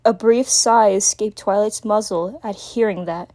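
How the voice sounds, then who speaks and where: A woman's voice: mid-range voice, a little slow, mellow. A woman narrator giving a scripted dramatic reading of a story.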